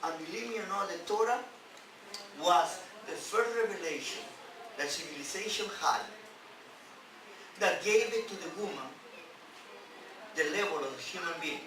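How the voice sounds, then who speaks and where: A man who is a non-native English speaker, a rabbi giving an emphatic sermon in halting phrases with repeats and rhetorical questions. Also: sits high, expressive. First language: not English. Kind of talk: sermon on scripture. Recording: distant, very echoey, noisy room recording.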